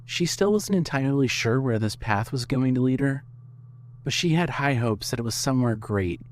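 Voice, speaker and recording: A man's voice: medium pitch, animated, normal speed. Male story narrator over noticeable noise. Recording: clearly noisy background.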